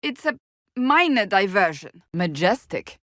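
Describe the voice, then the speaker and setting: A woman's voice: medium pitch, very animated, a little slow, pushed and bright, no echo. Proud warrior woman, blunt, formal, easily exasperated, game dialogue, close dry sound. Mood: stern, matter-of-fact. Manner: clipped, formal, blunt delivery. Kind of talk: video game character dialogue.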